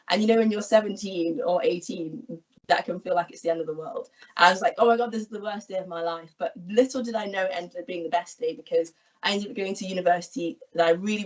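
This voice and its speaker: A woman in her twenties, from England, explaining her job in a friendly, clear way on an online careers talk. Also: fairly low pitch. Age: 20s. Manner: friendly, explanatory, gestures while talking. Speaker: equity research analyst guest. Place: small room at home, indoors. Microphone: laptop webcam video call. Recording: distant, dull video call audio.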